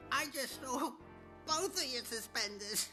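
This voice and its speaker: A woman voicing a giggly, cheeky little schoolboy, polite on the surface, in an animated comedy. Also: high-pitched, unhurried, music in the background.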